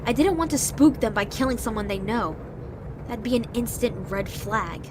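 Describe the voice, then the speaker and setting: A woman's voice: a little high, animated, moderate pace, noisy background, lightly acted. A woman playing a proud, ruthless female agent in an amateur audio drama, terse and scornful in manner. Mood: calculating.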